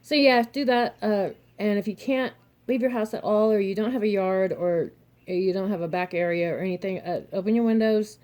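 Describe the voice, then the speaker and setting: A young adult woman, a little hoarse and throaty, chatting frankly to her viewers with advice, laughing often and cursing mildly. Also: mid-range voice, moderate pace, darkish tone. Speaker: vlogger, single mother and reseller. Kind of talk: casual advice monologue to camera.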